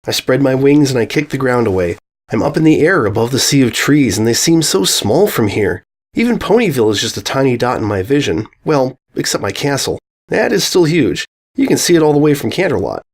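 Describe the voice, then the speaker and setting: Man voicing a manic young pony mare, wildly swooping pitch. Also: fairly quick, husky. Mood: manic, wistful. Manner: expressive, theatrical, self-questioning. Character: young female pony, manic, cheerful, restless and anxious. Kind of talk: first-person dramatic storybook narration of fan fiction.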